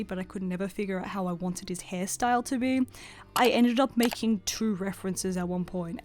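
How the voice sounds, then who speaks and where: A young adult woman giving casual, scripted voice-over commentary as an artist, chatty and informal with a self-deprecating manner. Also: medium pitch. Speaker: artist and video creator.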